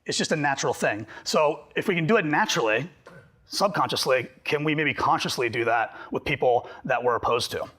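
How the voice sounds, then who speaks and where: A man presenting a workshop to a group, talking fast and animated, slightly hoarse from losing his voice. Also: medium pitch. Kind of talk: live workshop talk to a group.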